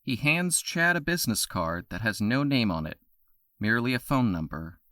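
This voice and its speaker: A man narrating an audio drama in steady, scripted storytelling. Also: mid-range voice, normal speed, dry recording, studio quality.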